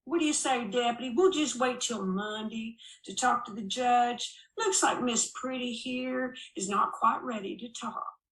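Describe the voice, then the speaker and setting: A man's voice: very high voice, unhurried, fairly bright tone, pitched above the performer's own voice, heavily acted. Woman voicing a needling folksy small-town sheriff, echoey room. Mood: mocking, menacing. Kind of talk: audiobook character dialogue.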